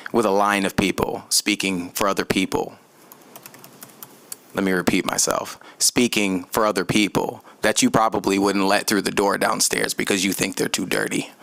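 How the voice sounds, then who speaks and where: A man's voice: lowish voice, gravelly, fairly bright tone. An American man giving an impassioned public comment as an activist, speaking emphatically and repeating phrases for weight. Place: city commission meeting room.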